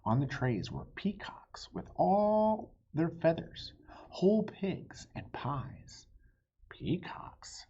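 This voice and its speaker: A man narrates a children's storybook in an unhurried, expressive read-aloud. His voice is very husky and mellow. The recording sounds slightly muffled. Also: a little high. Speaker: storybook reader.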